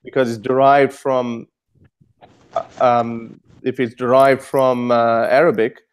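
A man's voice: mid-range voice, flat delivery, slow, clear, resonant tone. Stammering man pressing an argument. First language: Urdu. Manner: heated, stammering, repeats words. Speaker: host. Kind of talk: debate over video call. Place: home kitchen, indoors. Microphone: earphone mic.

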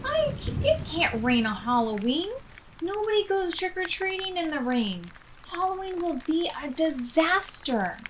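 A woman's voice: extremely high pitch, very animated, measured pace, fairly bright tone, a little hiss or hum, voice pushed up, exaggerated voice, low-quality recording. A woman voicing a fretful, bossy young male storybook character in an emphatic, dramatic way. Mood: fretful, gloomy.

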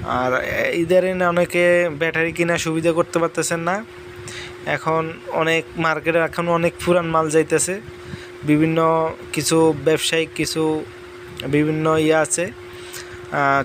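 A young man from Bangladesh selling batteries in a casual promotional talk, pausing often with fillers and restarts. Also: fairly high pitch, fairly bright tone, slight background noise. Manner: halting, with many fillers and restarts. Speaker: battery seller. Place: large indoor battery factory floor.

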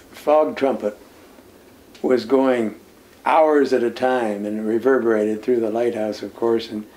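Older man reminiscing, mellow voice. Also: medium pitch. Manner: unhurried storytelling with many "uh" pauses and soft chuckles. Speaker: former lighthouse keeper, interviewee. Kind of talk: reminiscing interview answers. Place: indoor room lined with nautical memorabilia. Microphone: seated interview, camera at medium distance.

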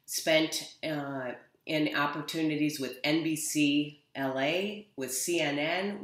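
A middle-aged woman hosts an online event and reads out an introduction, with a few fillers. She speaks over a laptop mic from an office. The room adds a noticeable echo. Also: low-pitched. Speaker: event host. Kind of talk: reading an introduction.

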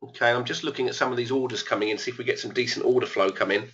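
A man hosts a live online trading session, thinking aloud as he works through his trades. His voice is a little hoarse but bright and forceful. The webinar audio sounds dull and lo-fi. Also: mid-range voice, fairly even intonation, rapid-fire. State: losing his voice. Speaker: trading educator. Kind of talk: live trading room commentary.

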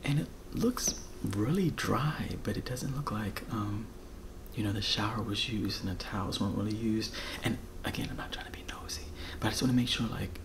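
An American man in his thirties doing a hushed, casual ASMR roleplay as a friendly host, talking hesitantly up close. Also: fairly low pitch, normal speed, noisy background. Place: small furnished bedroom.

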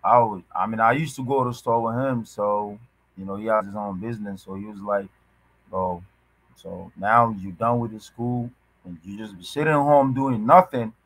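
A young man born in Ghana, chatting casually as a podcast guest over a video call from a small room, halting and full of restarts. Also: fairly low pitch, no room sound, rough recording. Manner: halting, many restarts and fillers, loose slangy talk. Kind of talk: casual conversation. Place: indoors, small room at home.

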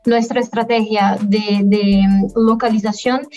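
A woman in her thirties, a guest affiliate manager, answering interview questions in a measured, halting way over a webcam video call. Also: mid-range voice, fairly even intonation, a little mellow, highs cut off, soft background music. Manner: measured, halting delivery with frequent pauses. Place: indoor room at home, by video call.

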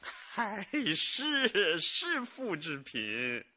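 An actor playing an anxious injured manager, pleading his innocence with halting sighs in a radio drama. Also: sits high, huge pitch swings, bright, forceful tone, muffled recording, full character voice. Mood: distressed, halting. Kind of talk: Chinese radio drama acting.

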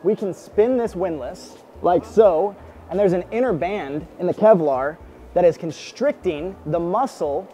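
High-voiced man coaching in a mall. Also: unhurried, slight background noise. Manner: energetic, coaching, keeps checking with "right?". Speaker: first aid instructor. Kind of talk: street demonstration teaching. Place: indoor shopping mall.

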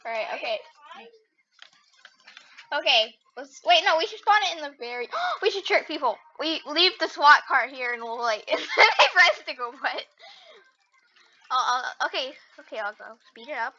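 A very high-pitched, giggly gamer chats casually during play. The voice is bright and excitable, swinging up and down, caught on a slightly muffled mic. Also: normal speed. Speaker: gamer playing with a friend. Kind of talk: casual gameplay chat. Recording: muffled mic with background noise.

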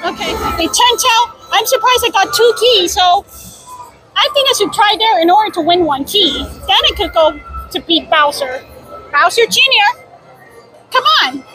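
A young woman vlogging at an outdoor theme park, giggly and excited, talking into a handheld camera. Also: very high-pitched, moderate pace, faint music behind, low-quality recording. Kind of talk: casual vlog.

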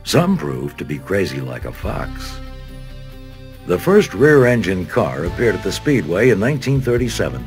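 A man's voice: low-pitched, very animated, normal speed. An American man reading scripted documentary narration as a studio voice-over. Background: background music.

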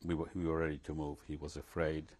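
Older man, very low husky voice, measured and reflective, lapel mic, living room. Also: monotone, darkish tone. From Poland. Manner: measured, reflective, with frequent pauses and restarts. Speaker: interviewee. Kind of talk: recounting memories in an interview. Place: living room, seated before curtains.

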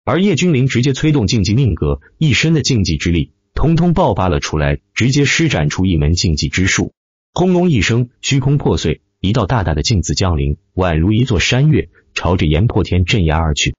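A man's voice: lowish voice, big pitch swings, fairly quick, slightly rough, no room sound, highs cut off. A man reading a fantasy novel as dramatic scripted narration. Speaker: audiobook narrator.

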